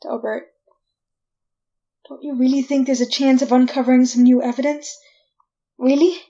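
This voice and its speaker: A woman acting a frightened condemned prisoner in a retro radio drama, pleading innocence in a halting, folksy way. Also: high voice, fairly even intonation, mellow, muffled recording. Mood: anxious pleading.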